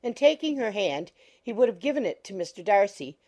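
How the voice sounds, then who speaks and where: A woman reading scripted literary narration for an audiobook in a measured, formal manner. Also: mid-range voice, sing-song, mellow.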